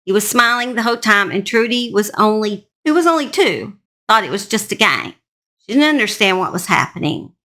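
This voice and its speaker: A woman's voice: medium pitch, animated, a little fast, sharp tone. A woman hosting and telling a true-crime story in a folksy, emphatic, conversational way. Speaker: host narrator.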